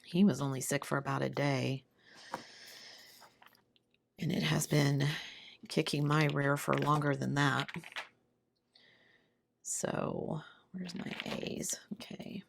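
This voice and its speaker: A woman chatting casually and murmuring to herself as she does a craft, her hoarse voice giving out from sickness. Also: steady tone, takes it slowly, long pauses, slight background noise. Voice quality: hoarse, low voice. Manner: murmuring to herself while working. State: sick, voice giving out. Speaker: craft video host. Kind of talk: casual chat while doing a craft.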